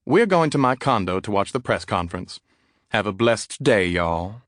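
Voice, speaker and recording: A man's voice: on the low side, lively intonation, moderate pace, fairly bright tone, no echo, clean studio sound. A man voicing a flamboyant, gossipy Southern man with a drawl in an audiobook. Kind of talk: audiobook character voice.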